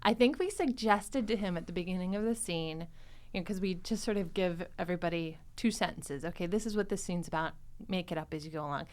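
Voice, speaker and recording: A woman hosting a podcast in casual, animated chat, often slipping into quoted dialogue. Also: on the low side, a little fast. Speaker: podcast host.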